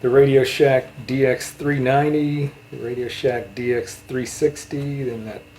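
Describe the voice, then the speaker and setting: A man in a casual interview chat, reminiscing in a halting way. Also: medium pitch, little pitch movement, a little mellow, slight background noise. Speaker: interviewer, channel host.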